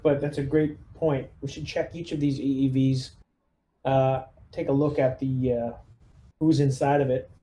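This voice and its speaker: A man's voice: medium pitch, dark tone. A man talking casually as a player in a tabletop roleplaying game, laying out his squad's next steps. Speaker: player acting as squad lieutenant.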